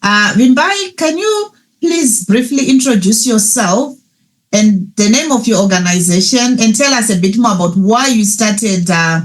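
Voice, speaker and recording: A woman's voice: a little high, expressive, a little slow. A woman hosting a fireside chat on a video call, introducing guests and affirming them with frequent "mm"s. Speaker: host, moderator.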